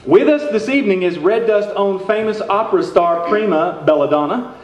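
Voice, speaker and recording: A man's voice: high-pitched, lively intonation, normal speed. A middle-aged man playing a courteous, genial radio station announcer in a comic stage show. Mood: genial, formal. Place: theater stage before a live audience. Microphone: stand microphone. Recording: echoey, noisy stage recording.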